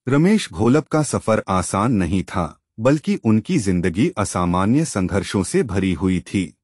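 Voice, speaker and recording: A man's voice: on the low side, a little mellow, breathy edge, dry recording, clean studio sound. A man narrating a scripted motivational story in an earnest, emphatic storytelling manner.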